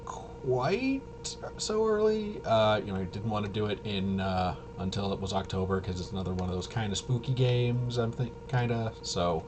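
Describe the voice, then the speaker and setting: Low-voiced man, rambling and halting. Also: lively intonation, noisy background. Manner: halting, self-interrupting, trailing off. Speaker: livestream host. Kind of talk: casual rambling livestream chat.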